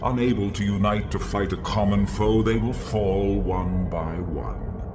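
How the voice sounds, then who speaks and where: An actor playing a vast, menacing ancient god in a video game, pompous and grandiose in slow archaic declamation. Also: male voice. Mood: cold contempt. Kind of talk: scripted video game villain acting. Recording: heavy rumbling noise around the voice.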